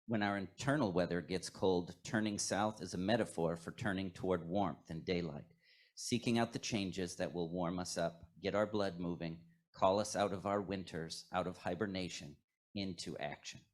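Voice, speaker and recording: Male worship leader, low register, measured and reflective, delivering a poetic scripted meditation.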